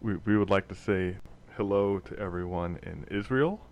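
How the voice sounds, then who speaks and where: A man hosting a casual comedy podcast, rambling and laughing as he talks. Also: low-pitched. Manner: rambling, laughing, slow then quick. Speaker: podcast host.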